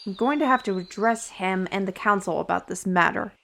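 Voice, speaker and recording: A woman's voice: medium pitch, a little fast, gravelly, a bit bright, a little hiss or hum. A woman playing a wry, learned artisan steward in a fantasy audio roleplay, talking conversationally and instructively to the listener. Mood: exasperated, resigned.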